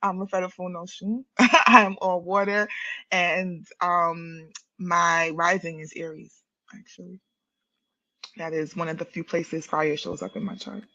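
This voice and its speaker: A woman's voice: lowish voice, fairly even intonation, unhurried, sharp tone, no echo, lo-fi sound. A woman in her forties from Guyana, raised in New York, with a New York accent, talking animatedly as a podcast guest, laughing often and restarting her sentences. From born in Guyana, raised in New York City. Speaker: podcast guest, therapist and spiritual practitioner. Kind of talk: casual podcast conversation.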